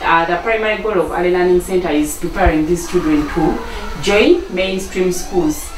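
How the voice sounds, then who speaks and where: Ugandan woman, centre staffer explaining her work, lowish pitch, picked up from a distance. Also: moderate pace. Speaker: learning centre staff member. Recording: echoey noisy room, distant mic.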